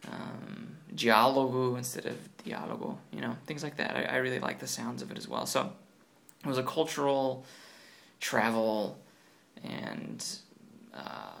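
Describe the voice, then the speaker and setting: A man's voice: mid-range voice, creaky, fairly bright tone. A man in casual conversation about learning languages, hesitant, with many ums and restarts.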